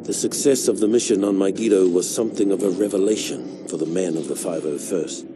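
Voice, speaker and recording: An actor playing a world-weary veteran clone soldier, narrating his war memories in a measured, solemn way for a video game. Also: low voice, noisy background, close to an everyday voice. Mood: grim, matter-of-fact. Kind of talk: scripted narration, military memoir.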